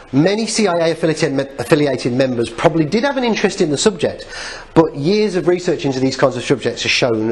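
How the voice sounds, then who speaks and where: A man's voice: medium pitch, darkish tone, low-quality recording. Man lecturing over background noise. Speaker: presenter giving a lecture. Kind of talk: informal public lecture. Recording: noticeable background noise, live talk recording.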